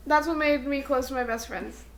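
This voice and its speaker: A woman's voice: sits high, a little fast, a little hiss or hum. A young woman co-hosting a podcast, talking in a casual, playful and teasing way with a friend. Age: young adult. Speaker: podcast co-host. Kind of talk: casual chat between friends.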